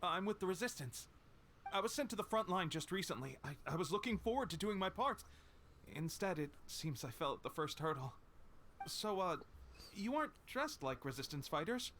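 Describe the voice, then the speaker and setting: Humble, eager young male game soldier, lightly high voice, faint background sound. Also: big pitch swings, normal speed, fairly bright tone, a little hiss or hum, full character voice. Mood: relieved, sheepish. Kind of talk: video game dialogue.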